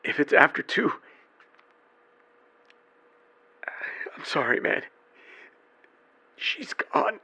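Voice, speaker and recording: An actor playing a frantic everyman husband in a dark comedy audio drama, halting and agitated as he talks. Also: fairly high pitch, gravelly, husky, full character voice. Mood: urgent, grim. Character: frantic everyman husband fielding and leaving eerie phone messages.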